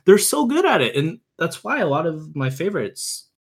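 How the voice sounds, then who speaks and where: A man speaking as a podcast guest, earnest and opinionated, often halting as he searches for words in casual chat. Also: a little high, very animated, slightly rough. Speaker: podcast guest, visual novel enthusiast.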